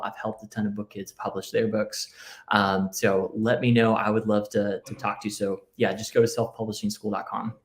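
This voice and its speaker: A man who grew up speaking Spanish talks with an American accent as a podcast guest. His voice is on the low side, and he speaks earnestly and reflectively, with "right?" and "you know" as fillers. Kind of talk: casual interview talk.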